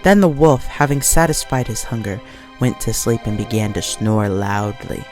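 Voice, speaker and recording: A woman narrating a scripted children's story as an expressive read-along storyteller. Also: rumbling low, normal speed. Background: soft music.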